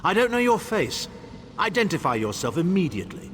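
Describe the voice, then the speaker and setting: Haughty sorcerer, animated and reverberant. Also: male voice, sits high, a little fast. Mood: irritated, imperious. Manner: pompous, curt, commanding. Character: haughty, impatient male imperial sorcerer villain. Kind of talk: fantasy video game voice acting. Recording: long hall reverb, faint noise.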